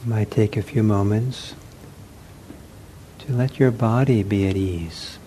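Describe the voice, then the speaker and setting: A man teaching a guided meditation in gentle, soothing short phrases with long pauses. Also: fairly low pitch, a little hiss or hum, low-quality recording.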